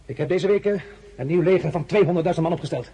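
An actor playing a commanding, weary emperor in a radio drama, halting and imperious, with a nostalgic streak. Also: male voice, fairly high pitch, mellow, breathy edge, lo-fi sound. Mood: imperious, stern. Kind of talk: dramatic radio-play acting.

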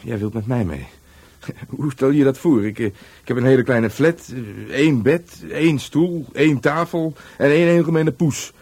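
A man's voice: mid-range voice, normal speed, darkish tone, close to an everyday voice. A man playing a reserved, dryly questioning police sergeant in a Dutch radio play, sometimes awkward and hesitant.

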